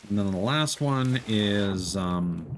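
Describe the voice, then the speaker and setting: A man giving casual commentary while playing a co-op game, guiding a teammate and thinking aloud haltingly. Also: on the low side, expressive, slight background noise. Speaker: streamer guiding a co-op player.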